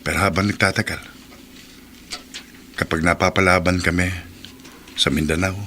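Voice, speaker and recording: A man's voice: low voice, level tone, moderate pace, pushed and bright, slight background noise. An actor playing a troubled soldier son in a radio drama, earnest and respectful as he speaks of his doubts. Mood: reflective, troubled. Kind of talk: Tagalog radio drama acting.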